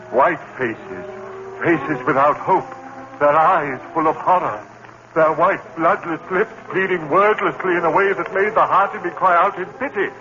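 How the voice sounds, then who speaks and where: A man's voice: on the high side, lightly acted. An actor in a 1950s radio suspense play, voicing a dramatic, brooding accused murderer who narrates his thoughts. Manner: brooding, dramatic inner monologue. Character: anguished accused murderer, a biology teacher, haunted narrator. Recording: dull, noisy old radio recording.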